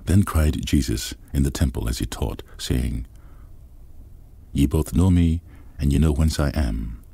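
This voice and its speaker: A man with an extremely low, gravelly voice reads scripture in a steady, grave manner. His tone is dark and husky. The sound is close and dry. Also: measured pace, dry recording. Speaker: Bible reader. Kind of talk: scripture reading.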